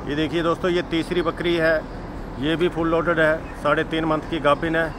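Man pitching goats with a flat voice. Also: normal speed. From Himachal Pradesh. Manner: repetitive sales pitch, addresses viewers as friends. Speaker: goat farm seller. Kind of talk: walk-around sales video. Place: outdoors at a goat farm. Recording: outdoor noise in background.

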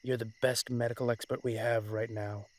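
Guy playing a tired dragon mafia boss, mid-pitched male voice, measured and in charge, roleplay audio. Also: flat delivery, darkish tone, natural voice. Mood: urgent, firm. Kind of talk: dramatic roleplay monologue.

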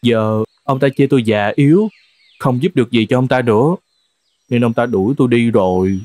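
A man's voice: mid-range voice, moderate pace, dark tone, no room sound, natural voice. A man voicing a weary old horse in a cartoon folk tale, plaintive and resigned. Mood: dejected, resigned. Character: weary, despondent old male horse, loyal and cast out. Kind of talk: animated folk tale dialogue. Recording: music under the voice.

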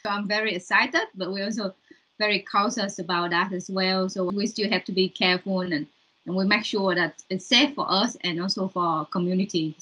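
A woman's voice: medium pitch, fairly even intonation, normal speed, pushed and bright, slightly rough, rough recording. A young woman from Vietnam with a Vietnamese accent, chatting casually in an interview over a video call. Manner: chatty, with fillers and non-native grammar. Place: room at home, indoors.

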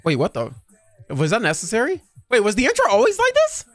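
A young man hosting a casual anime reaction on a headset mic in a home streaming room, animated and quick to laugh. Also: high-pitched, no room sound. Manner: animated, laughs often, talks fast in bursts.

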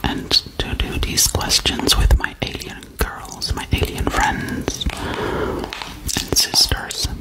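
A woman whispering close to the mic as a gentle female alien visiting Earth, in a slow, soothing, repetitive ASMR role-play, recorded indoors in a small room. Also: lightly acted, rough recording. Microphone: large studio mic held very close.

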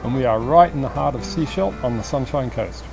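Middle-aged man farmer, calm and plain-spoken, with guitar music under his talk. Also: medium pitch, fairly quick, creaky. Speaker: urban farmer. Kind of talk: documentary interview. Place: outdoors on a farm.

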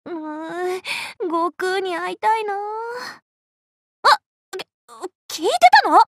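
A woman voicing a cheerful, bossy, scatterbrained young female Buddhist monk in an anime game, boastful and childlike in an expressive, exclamatory way. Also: very high-pitched, slow, no echo, studio quality, exaggerated voice. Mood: wistful, then flustered. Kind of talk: Japanese anime game character voice lines.

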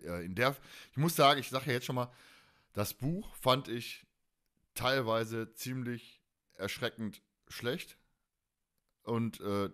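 A man's voice: on the low side, fairly bright tone. A man in his forties hosting a podcast in a casual, colloquial and enthusiastic chat style. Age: 40s. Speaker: podcast host.

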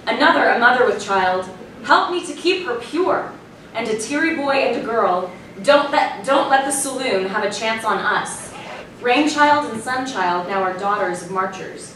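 A woman reads scripted narration in a fairly high voice. She is picked up from a distance in a small, strongly echoing room, with clear noise. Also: lively intonation, moderate pace, a bit bright. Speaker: narrator reading stage directions. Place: small performance room with audience, far camera.

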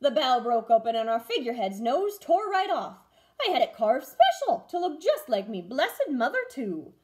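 Woman playing a loud, blustering pirate captain. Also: sits high, big pitch swings, moderate pace. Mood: hearty, troubled. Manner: hollering, pirate dialect with ayes and avasts.

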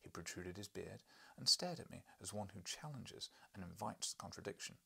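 A man in his thirties narrates a classic story. His voice is fairly low, gravelly and lively in its intonation. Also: moderate pace. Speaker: audiobook reader. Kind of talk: scripted literary narration. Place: furnished book-lined room, indoors.

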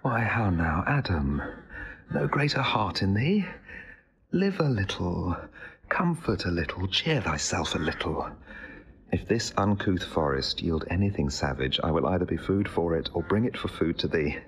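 A man's voice: low voice, big pitch swings, moderate pace. An actor playing an earnest young nobleman who speaks in a tender, encouraging way. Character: earnest young nobleman caring for his servant. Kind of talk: classical verse drama.

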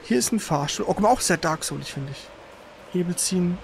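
A man with a high voice commentates his gameplay in a casual, colloquial way, muttering reactions as he goes. There is clear noise behind him. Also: lively intonation, fairly quick. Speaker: gaming streamer, player. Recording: noisy stream with game sound behind.

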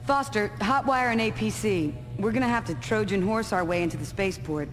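A woman's voice: medium pitch, fairly quick, in a large hall, faint music behind, natural voice. An actress playing a tough, terse female military major in a video game cutscene, speaking with dry authority. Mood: businesslike.

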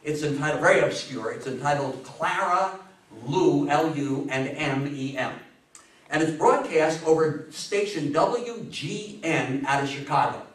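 An American man in his sixties speaks to a small audience like a lively storyteller. His voice sits a little high. He is picked up from a distance in a reverberant meeting room. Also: unhurried. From New York State, US. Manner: animated, theatrical storyteller with rhetorical questions. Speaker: lecturer giving a history talk. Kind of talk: interactive public talk to an audience. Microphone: far camera. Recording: echoey room, distant camera microphone.

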